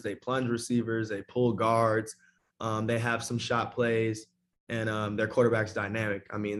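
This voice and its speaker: A young man, a college football player, giving a casual interview answer about his sport. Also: fairly low pitch. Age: young adult.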